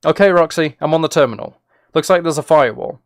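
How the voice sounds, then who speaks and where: A man's voice: fairly high pitch, fast, no echo, clean studio sound, natural voice. A man voicing a cocky male secret agent with a casual, exasperated manner in a story reading. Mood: nonchalant. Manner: casual, sweary, nonchalant.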